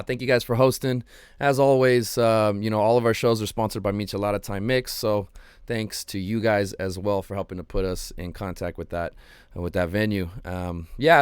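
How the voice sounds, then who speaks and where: A man hosting his own show, chatting casually and rambling with lots of ums and slang, his voice slightly hoarse. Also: mid-range voice, dry recording, clean studio sound. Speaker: podcast host. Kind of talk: casual solo livestream chat.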